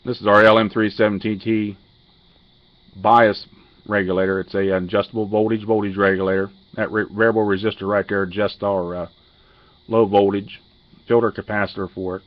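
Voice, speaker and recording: Low-pitched man, halting, matter-of-fact, casual technical walkthrough, muffled lo-fi camera audio. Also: flat delivery, no room sound. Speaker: amateur radio hobbyist demonstrating a modified radio.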